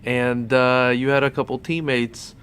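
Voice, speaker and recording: A man interviewing a fighter, asking relaxed questions and agreeing often. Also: medium pitch, unhurried, smooth. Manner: relaxed, frequent backchannel agreement.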